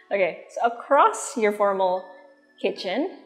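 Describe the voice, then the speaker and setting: A woman's voice: mid-range voice, big pitch swings, slow. A young woman with a Filipino accent presenting a house tour in a casual, conversational way, walking through large empty rooms. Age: young adult. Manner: chatty, conversational. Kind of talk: casual real estate walkthrough presentation. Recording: long reverb of an empty room. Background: music.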